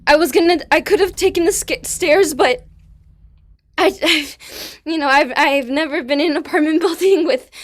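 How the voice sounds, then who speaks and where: A woman's voice: high-pitched, close to an everyday voice. A woman playing a flustered, rambling young visitor. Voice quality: halting, rambling speech. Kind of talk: staged play reading.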